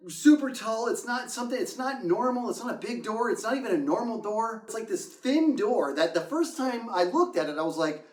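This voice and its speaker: A man with a high voice tells a casual story with theatrical flair, his pitch swinging widely. He acts out reactions as he goes. The sound is echoey and set back from the microphone. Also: lively intonation, fairly quick, few pauses, far from the mic. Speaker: storyteller, host of the show. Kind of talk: animated casual anecdote telling. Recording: roomy echo, off the mic.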